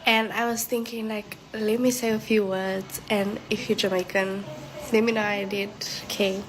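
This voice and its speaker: A woman's voice: medium pitch, flat delivery, normal speed, background noise. A woman from Africa, talking in a casual selfie video, tentative and giggly, dragging out her words. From South Africa.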